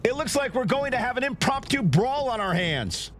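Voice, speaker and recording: A man's voice: very high-pitched, big pitch swings, normal speed, a little hiss or hum, exaggerated voice. An actor playing an emphatic male lead announcer giving play-by-play in a wrestling video game. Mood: impressed. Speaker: lead commentator. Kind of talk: play-by-play sports commentary. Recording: loud music behind.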